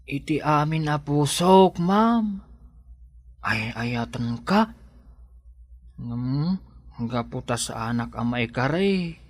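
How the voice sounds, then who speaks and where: A man's voice: a little high, animated, takes it slowly, long pauses, no room sound, clean studio sound. A man playing a humble, earnest young working man in love in an audio drama. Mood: earnest, tender.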